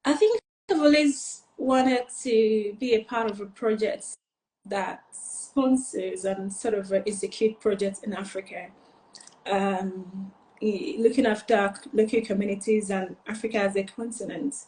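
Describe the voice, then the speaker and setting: A woman's voice: mid-range voice, measured pace, a little mellow, reverberant, a little hiss or hum, low-quality recording. A woman from eastern Nigeria, a pageant finalist, answering interview questions in an earnest, rambling way with many fillers.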